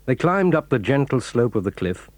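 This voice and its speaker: A man narrating a children's audio drama in a measured, clear storytelling manner. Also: mid-range voice, very animated, no echo.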